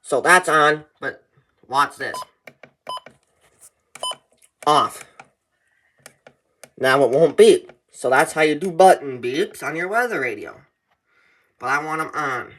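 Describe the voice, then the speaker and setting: A man's voice: a little high, expressive, unhurried, pauses often, a bit bright, a little hiss or hum. A man giving informal step-by-step instructions in a plain, repetitive explaining manner. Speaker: tutorial presenter. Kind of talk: informal step-by-step how-to narration.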